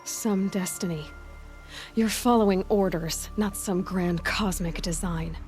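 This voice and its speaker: A woman's voice: fairly low pitch, a little slow, a little mellow, soft background music, natural voice. An actress playing a determined, defiant young woman hunter in a video game, intense and guarded. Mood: bitter defiance. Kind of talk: dramatic video game acting.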